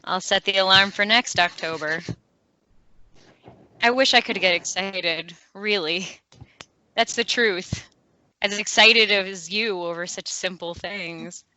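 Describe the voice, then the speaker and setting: A woman's voice: mid-range voice, slow, long pauses, bright, forceful tone, low-quality recording. A woman playing a guarded, wistful lover with a dry, reflective way of talking at a table read. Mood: wry, skeptical. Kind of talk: script table read, drama.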